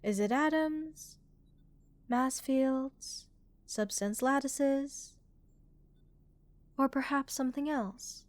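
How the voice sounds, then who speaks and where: A curious female alien scientist, played by a woman, studies her specimen in a fairly high voice, recorded close and dry. She is calm and clinical, thoughtful and gently reassuring, in a relaxing ASMR roleplay. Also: extremely slow, pauses often, no room sound. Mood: thoughtful, measured.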